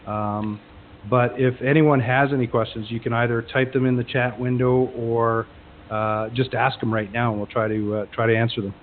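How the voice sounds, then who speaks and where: A man's voice: medium pitch, little pitch movement, moderate pace. Man on a noisy, phone-like line. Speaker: co-presenter taking questions. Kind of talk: casual live webinar talk. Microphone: phone or web conference call. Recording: tinny phone line with clear noise.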